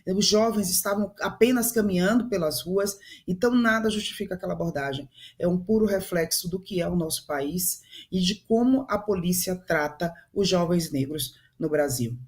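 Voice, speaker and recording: A woman's voice: fairly low pitch, normal speed, hardly any pauses, darkish tone. A woman from Salvador with a Bahian accent, commenting on a studio panel in an earnest, firm way with some hesitations. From Salvador, Bahia, Brazil. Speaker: businesswoman, commentator.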